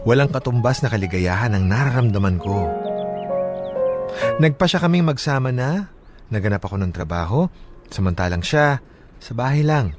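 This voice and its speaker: Male radio storyteller over loud music. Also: medium pitch, lively intonation, normal speed, pauses often. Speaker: radio host and letter reader. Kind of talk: radio chat and dramatic letter narration.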